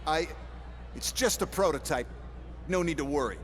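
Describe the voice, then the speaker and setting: A man's voice: a little high, animated, normal speed, a little hiss or hum, natural voice. An actor playing a brash, irritable robot salesman in a video game, sarcastic, dismissive and impatient. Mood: flustered.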